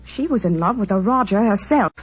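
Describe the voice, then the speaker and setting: A woman's voice: mid-range voice, expressive, brisk. Breezy widowed island queen, swooping, old radio. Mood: candid. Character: brassy, candid widowed chorus girl turned island queen. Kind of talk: 1940s radio drama acting. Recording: muffled, husky-sounding 1940s radio recording.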